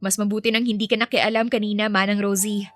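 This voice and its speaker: A young housemaid nanny, acted by a woman, speaks earnestly in a middle pitch. She is proud, polite and tender, a romance audio story heroine with music behind her. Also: little pitch movement, fast talker, no room sound, close to an everyday voice. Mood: bitter, resigned.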